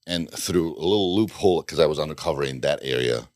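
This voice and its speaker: Super deep voice, middle-aged guy from bosnia, rambles and restarts a lot, dry close sound. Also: fast, darkish tone, no room sound. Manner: rambling, restarts sentences, dry self-deprecating jokes. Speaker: podcast guest, former undercover FBI agent. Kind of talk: casual interview conversation.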